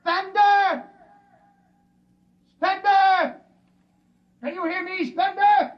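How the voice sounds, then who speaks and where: Stern captain calling out from afar, high and slow. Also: male voice, flat delivery, roomy sound, lo-fi sound, full character voice. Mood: urgent. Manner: calling out loudly. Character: stern ship captain shouting across hills.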